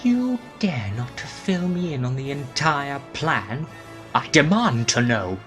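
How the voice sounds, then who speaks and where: A grandiose, impatient arch-villain played by a man with a middling pitch that swoops dramatically. He speaks imperiously, in full audio drama style, over faint music. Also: sing-song, takes it slowly, fairly bright tone. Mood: demanding. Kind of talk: sci-fi fan audio drama acting.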